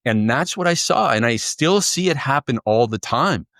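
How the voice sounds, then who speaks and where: A man's voice: medium pitch, lively intonation, normal speed, a bit bright, dry recording, clean studio sound. A man from Canada, a guest author, answering interview questions in a fluent, upbeat, storytelling way. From Canada, near Toronto.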